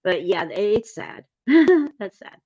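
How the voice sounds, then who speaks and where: A woman's voice: fairly low pitch, slow, darkish tone. A woman panelist talking casually and sympathetically, reading out comments and reacting to them. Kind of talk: casual discussion.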